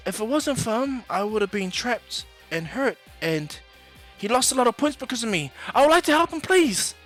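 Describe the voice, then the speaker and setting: A man's voice: extremely high pitch, big pitch swings, moderate pace, a bit bright, faint music behind, pitched above the performer's own voice, heavily acted. A man voicing a polite, earnest teenage girl in an anime story, pleading and apologetic.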